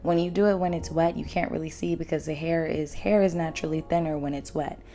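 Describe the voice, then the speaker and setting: A young woman vlogger with a naturally low voice, hoarse after losing it, chatting bluntly and casually through a hair tutorial. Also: steady tone, quick pace, dark tone. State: hoarse, lost voice after drinking. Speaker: beauty vlogger. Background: faint background music.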